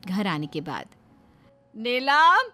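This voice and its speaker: A woman's voice: mid-range voice, lively intonation, moderate pace. A woman giving scripted storytelling narration for a moral story. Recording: background music under the voice.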